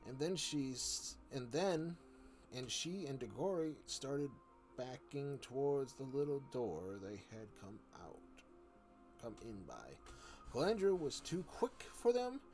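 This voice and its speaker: A man reading a children's story aloud as a storyteller, in a halting way. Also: mid-range voice, sing-song, a little mellow, soft background music. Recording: noticeable background noise.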